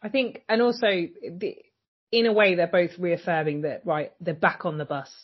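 A woman's voice: on the low side, normal speed, slightly rough. A woman co-hosting a podcast, chatting casually about a TV episode and acting out lines from it. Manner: animated, acts out quoted lines. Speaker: podcast co-host. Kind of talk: casual chat recapping a TV episode. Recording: dull lo-fi sound.

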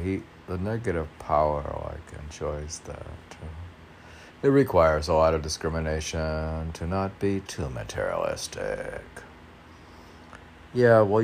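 A man reading his poems and commenting off the cuff in a rambling, halting way, asking himself questions. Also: a bass voice, gravelly, slight background noise. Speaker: poet and podcaster reading own work.

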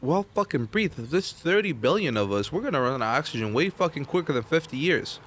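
A man in casual podcast chat, swearing often and explaining with rising excitement. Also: a little high, fast talker, a little hiss or hum. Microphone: shared podcast microphone.

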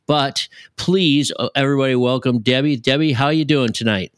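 A man's voice: medium pitch, normal speed, noisy background. Upbeat man hosting a podcast. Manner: upbeat, friendly introductions. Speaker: podcast host.

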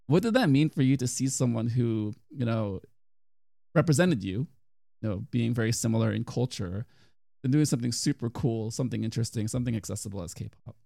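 Casting: young male host, gravelly voice, dry close sound, teasing and rambly. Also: mid-range voice, animated, fairly quick, warm tone, no room sound. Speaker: podcast host. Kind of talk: casual chat.

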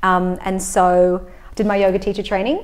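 A woman in her thirties who grew up in Australia, a yoga teacher answering interview questions in a calm, explanatory way with frequent fillers. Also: mid-range voice, moderate pace. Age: 30s. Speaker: yoga teacher and breathwork facilitator.

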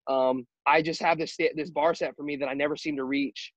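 A teenage high school quarterback with a high-pitched voice, answering interview questions earnestly with many fillers and restarts. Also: male voice, steady tone, dry recording. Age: teens. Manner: halting, filler-heavy, earnest. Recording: dull, muffled audio.

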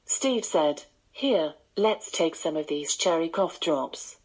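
A woman's voice: low voice, lively intonation, slow pace, darkish tone, slightly rough, rough recording. A synthetic woman's voice of a voice assistant, narrating bedtime stories.

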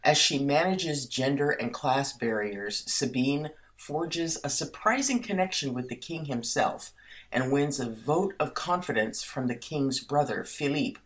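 A woman from the United States co-hosting a podcast, animated and giggly, reading summaries aloud. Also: very deep voice, unhurried. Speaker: podcast co-host. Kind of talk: casual chat.

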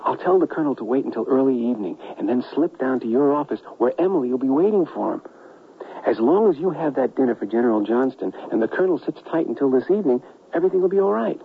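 An actor playing an earnest, anxious writer caught up in intrigue in a western radio drama. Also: male voice, mid-range voice, expressive, quick pace, lightly acted. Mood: earnest, reluctant. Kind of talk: 1970s radio drama acting. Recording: tinny, hissy 1979 radio recording.

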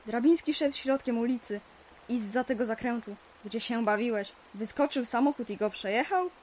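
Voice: medium pitch, normal speed, a little mellow, slight background noise, close to an everyday voice. A female performer playing a cheeky young child interrupting an uncle's story in an audio drama. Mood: eager, cheeky.

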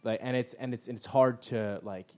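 A man from Southern California hosts a casual podcast chat, talking loosely and often tripping over his words before he gets going. His voice sits at an ordinary middle pitch. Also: fairly even intonation, normal speed, a little mellow. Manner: chatty, stammering restarts. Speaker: podcast host. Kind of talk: casual conversation.

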